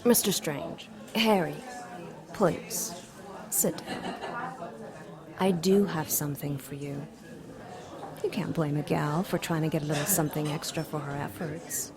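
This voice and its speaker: A woman's voice: sits low, measured pace, long pauses. A woman voicing a seductive, imperious demon queen in an audio drama, coy, mocking and theatrical. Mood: teasing, coy. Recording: crowd chatter behind the voice. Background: crowd chatter.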